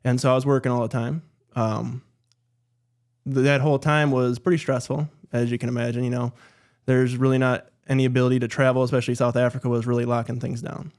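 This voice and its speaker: A man guest on a podcast in a studio, telling his story in a relaxed, matter-of-fact way. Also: mid-range voice, fast. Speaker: guest, entrepreneur. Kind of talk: casual interview.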